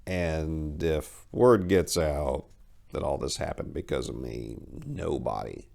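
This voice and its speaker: A man voicing a distraught, remorseful supernatural being in a horror story reading, with halting, earnest, formal speech. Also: a bass voice, gravelly, a little mellow, pitched below the performer's own voice, heavily acted. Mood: solemn, chilling. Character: distraught male supernatural being, remorseful yet chillingly calm.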